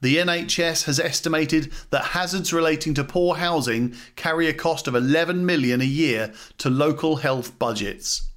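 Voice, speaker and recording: A man's voice: on the high side, moderate pace, few pauses, a bit bright. A man who hosts a podcast, reading a formal document aloud as scripted narration. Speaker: podcast host.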